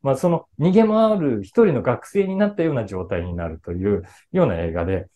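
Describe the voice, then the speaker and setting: Middle-aged male, casual explainer for an online fan audience; mellow timbre, animated pitch movement, searching for words as he goes. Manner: explanatory, conversational, with frequent pauses to search for words. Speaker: voice actor and actor talking to his fan club. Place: small indoor room with a patterned wall, video call.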